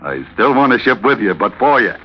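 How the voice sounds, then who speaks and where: An actor playing a breezy, sly, scheming sailor in old-time radio drama, cocky, insinuating and easygoing. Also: male voice, on the low side, sing-song, a little fast, husky. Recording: dull vintage radio recording.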